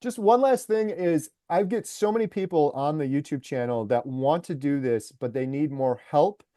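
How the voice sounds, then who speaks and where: A man talking in a casual interview, excited and chatty, with a lot of "like" and "uh" in his sentences. His voice sits a little high for a man but sounds mellow and warm. The recording is dry and close. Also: moderate pace, no room sound. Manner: enthusiastic storyteller, lots of fillers like "like" and "uh". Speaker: documentary maker and YouTuber.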